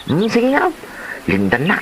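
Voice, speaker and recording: A man's voice: high voice, wildly swooping pitch, unhurried, background noise, full character voice. A man with an Ilocano accent playing a mischievous, greedy village husband in a comedy drama, full of exaggerated exclamations. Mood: eager. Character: mischievous, greedy village husband who raids grave offerings.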